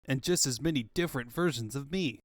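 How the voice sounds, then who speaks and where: A man's voice: medium pitch, brisk, no echo, clean studio sound, natural voice. A man playing a brash, wisecracking young hedgehog hero in a comic fandub, with a cocky, slangy way of talking. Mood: casual recalling. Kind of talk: comic-book fandub cartoon acting.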